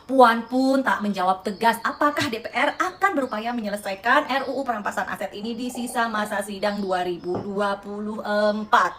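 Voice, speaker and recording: A woman's voice: medium pitch, normal speed, few pauses, fairly bright tone. A woman host reads out news items and adds sarcastic remarks aimed straight at her viewers. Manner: sarcastic, addresses viewers directly. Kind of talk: reading news with sarcastic commentary.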